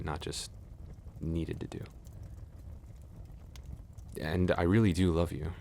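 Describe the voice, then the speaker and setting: A young man playing a gentle, nervous best friend in an ASMR boyfriend roleplay, speaking softly and hesitantly in a tender, reassuring way. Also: a little hiss or hum, exaggerated voice. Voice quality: very deep, creaky.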